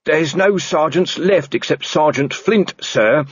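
A man's voice: on the high side, slow, slightly rough, no echo, lo-fi sound, voice pushed up. A man voicing an earnest, dogged young male constable who stays politely insistent with his superior. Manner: politely insistent, ends lines with sir.

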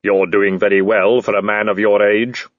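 A man voicing a calm, polite, literal-minded supernatural reaper in an audiobook, speaking in a measured, formal, deadpan way. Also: on the low side, no room sound, narrow, tinny audio, close to an everyday voice. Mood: deadpan, courteous.

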